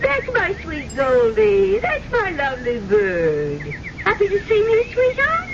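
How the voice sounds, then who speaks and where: A woman's voice: very high voice, huge pitch swings, unhurried, exaggerated voice. Stubborn elderly lady, swooping intonation. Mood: fond. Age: older. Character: elderly lady stubbornly resolved to forget a ghost. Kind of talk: old-time radio drama acting. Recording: muffled radio play under loud vehicle noise.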